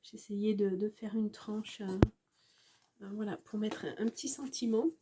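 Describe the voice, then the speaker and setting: A woman's voice: mid-range voice, fairly even intonation, normal speed, very noisy. A woman who has a cold, chatting casually as she shows a handmade craft project, hesitant and full of fillers. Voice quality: husky. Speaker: scrapbooking crafter. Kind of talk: casual craft show-and-tell.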